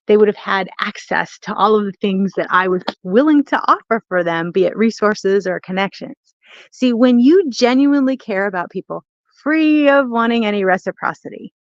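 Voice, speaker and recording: A woman's voice: on the high side, no room sound, dull audio. Emphatic woman coaching on a live stream. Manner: emphatic, repeats phrases for stress, addresses listeners directly. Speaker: host of a networking community's live stream. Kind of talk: live-streamed motivational coaching talk to viewers.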